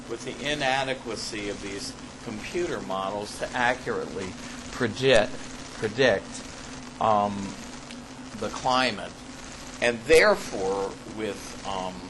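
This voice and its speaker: Older male academic, very slow halting read of a paper, clearly noisy classroom recording. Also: mid-range voice. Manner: halting, deliberate delivery with repeats. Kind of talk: reading a paper aloud with asides.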